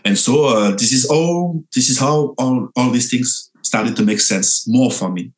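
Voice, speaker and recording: A young man with a French accent, a dancer telling his story in an interview, animated and full of halting restarts. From France. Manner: animated, lively pitch jumps, halting restarts.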